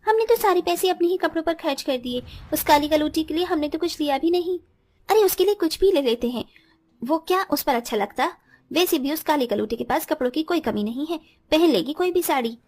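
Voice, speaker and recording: A woman acts out a spiteful college-age sister-in-law from a cartoon tale. Her voice sits very high and young. She is haughty, bossy and quick to snap with scorn. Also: animated, a little mellow. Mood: dismissive. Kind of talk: Hindi cartoon story dialogue.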